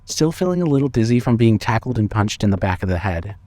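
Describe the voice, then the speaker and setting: A man's voice: on the low side, animated, fast, mellow, no room sound. A man reading scripted horror fiction as the narrator of an audio drama.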